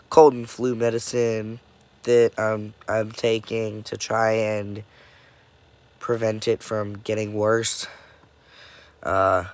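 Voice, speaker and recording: Slow, halting young man with a cold. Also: on the low side, creaky. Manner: halting, many pauses and fillers. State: congested, coming down with a cold; dead tired. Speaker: vlogger. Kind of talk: casual personal update.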